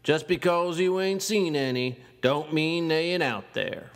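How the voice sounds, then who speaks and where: An actor playing a brash, boastful cowboy uncle and zombie hunter in an audio horror story, with a folksy, coarse American Southern drawl. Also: male voice, a little high, animated, a little slow, gravelly. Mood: warning. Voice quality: drawling country speech. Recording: long reverb, music underneath. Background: guitar music.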